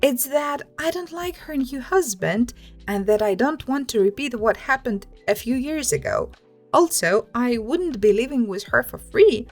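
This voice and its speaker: Woman, high voice, very animated intonation, online story narrator, scripted reading with commentary, music underneath. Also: a little slow.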